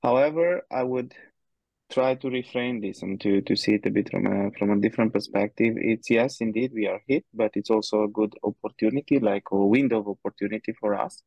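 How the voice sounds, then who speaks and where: A man speaks in a fairly low voice that has a gravelly edge. He pauses often and fills gaps as he thinks his answers through. The sound is dry, with no room around it. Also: a little mellow, no echo, dull audio. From Romania. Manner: hesitant, many fillers, thinks aloud. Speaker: guest, head of people. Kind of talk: conversational interview answers.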